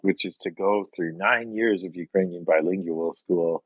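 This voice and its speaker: A man's voice: low voice, normal speed, dry recording, dull audio. A man co-hosting a radio book club with a dry, joking way of talking. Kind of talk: casual radio book discussion.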